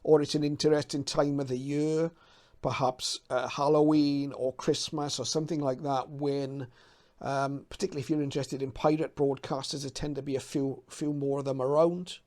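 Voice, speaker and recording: A man's voice: mid-range voice, little pitch movement, normal speed, a little mellow. A man in his fifties from the UK talking casually to camera as a hobby channel host, explaining things a little hesitantly as he thinks aloud. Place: small cluttered home radio room.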